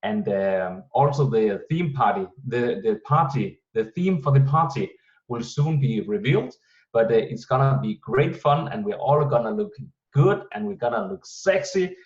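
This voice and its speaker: A man's voice: medium pitch, expressive, a little mellow. Muffled man hosting a video call. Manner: halting, many pauses while searching for words. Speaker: host of an online team meeting. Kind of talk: welcoming, announcing event details.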